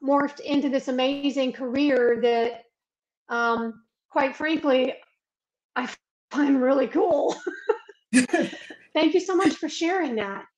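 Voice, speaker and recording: A woman's voice: sits high, slow pace, pauses often, a little mellow. A woman moderating a video call panel who encourages the guests and asks each about their journey. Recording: dull lo-fi video call audio.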